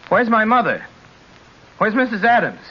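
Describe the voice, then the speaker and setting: A man's voice: sits high, sing-song, normal speed. An actor playing a haunted young man driving alone, in tense 1940s radio drama style that moves between whispers and frantic questions. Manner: tense, fraught narration that sinks to whispers and rises to frantic questions. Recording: muffled old radio broadcast with faint hiss.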